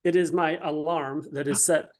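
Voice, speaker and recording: A man answering interview questions casually, in a hesitant, halting and polite way. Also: a little high, steady tone. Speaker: interview guest, dramatist and author.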